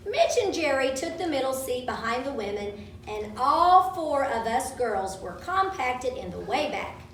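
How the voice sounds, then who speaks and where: A woman's voice: sits high, very animated, unhurried. A woman reading a childhood story aloud to children at a live event, with dramatic storytelling delivery. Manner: dramatic, acts out quoted speech. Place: large room at a live event. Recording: roomy, distant microphone, faint background noise.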